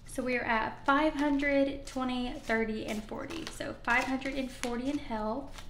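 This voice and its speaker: A woman's voice: sits high, reverberant. A woman with a slightly scratchy voice, chatting casually and upbeat as she counts cash at a table for her budgeting channel. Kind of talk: casual talk to camera while counting cash. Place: indoors at a wooden table.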